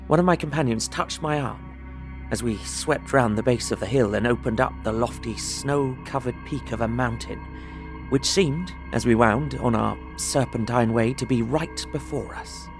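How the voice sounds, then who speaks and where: An actor playing an observant young gentleman traveller, narrating his journal in measured, literary audio drama style. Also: mid-range voice, over music, close to an everyday voice. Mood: absorbed, wondering. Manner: measured, descriptive storytelling.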